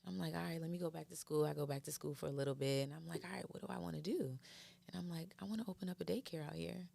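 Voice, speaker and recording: Low, gravelly-voiced woman telling stories. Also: rapid-fire. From Florida. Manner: animated storytelling, slangy. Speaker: guest, daycare owner. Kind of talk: casual podcast chat.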